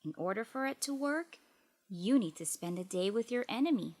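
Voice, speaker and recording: A woman voicing a knowing, calmly secretive father in a children's storybook, with measured, persuasive delivery. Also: fairly high pitch. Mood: quietly serious.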